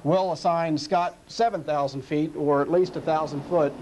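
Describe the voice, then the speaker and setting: An American man with a slightly high voice explains his work as an air traffic controller. He is plain-spoken and matter-of-fact, with some background noise around him. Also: fairly even intonation, moderate pace, darkish tone. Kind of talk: explanatory interview answers.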